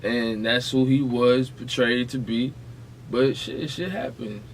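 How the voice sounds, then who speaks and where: A man's voice: medium pitch, slow pace, a little hiss or hum, poor audio. A man co-hosting a podcast, chatting and debating casually in blunt, slangy speech. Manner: slangy, blunt, pushing back.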